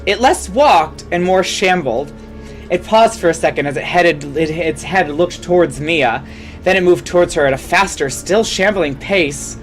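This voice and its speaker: A host performs a comedic story reading in a mid-pitched voice. The delivery is theatrical, with asides and self-corrections. Music plays softly underneath. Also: unhurried. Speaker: fan fiction reader and host.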